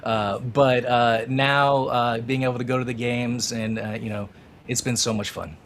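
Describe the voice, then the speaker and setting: A man's voice: medium pitch, flat delivery, normal speed, fairly bright tone, slight background noise. A man co-hosting a casual soccer talk show, enthusiastic and rambling, with many fillers. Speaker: podcast co-host.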